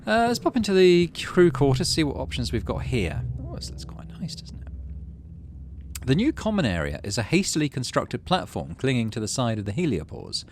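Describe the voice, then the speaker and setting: A man's voice: mid-range voice, big pitch swings, normal speed, darkish tone, slight background noise. A man giving casual gaming commentary, reading game text aloud and muttering as he thinks. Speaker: let's play host.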